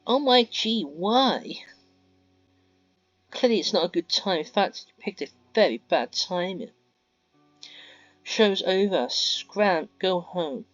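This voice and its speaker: A shrill, bossy young woman spits insults and orders, put on by a man raising his voice high and loud. The sound is dry and a little muffled. A faint guitar plays behind. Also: expressive, a little fast, hardly any pauses, dry recording. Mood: scornful. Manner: snapping, shouting insults. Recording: dull lo-fi audio, faint guitar music.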